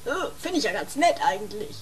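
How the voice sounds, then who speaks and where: A woman's voice: mid-range voice, sing-song, brisk, noisy background, natural voice. A woman voicing a dim, hesitant, puzzled male prisoner in an improvised amateur comedy radio play. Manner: puzzled, reluctant.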